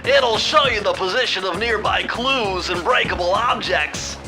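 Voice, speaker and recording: A brainy, nervous cartoon game sidekick with an extremely high voice. He explains things in fussy, pedantic, wordy sentences over clearly audible music. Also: moderate pace, low-quality recording. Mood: eager explaining. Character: nerdy, anxious, brainy cartoon sidekick and gadget expert. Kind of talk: video game cartoon acting, explaining moves. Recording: music behind the voice.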